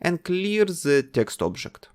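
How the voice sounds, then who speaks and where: A man narrating a coding tutorial, calmly giving instructions one step at a time. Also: sits high, slow, clean tone. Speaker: game development tutor.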